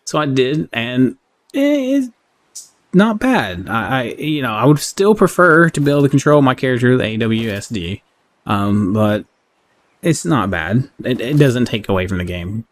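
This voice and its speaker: A man giving casual, unscripted game review commentary in a halting, conversational way. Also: medium pitch, big pitch swings. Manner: halting, conversational, often checks in with "right?". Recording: clearly audible background noise.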